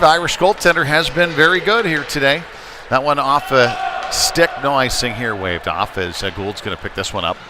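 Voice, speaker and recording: Man calling hockey over rink noise. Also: mid-range voice. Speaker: play-by-play sports commentator. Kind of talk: live ice hockey commentary. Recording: clearly noisy sports venue background.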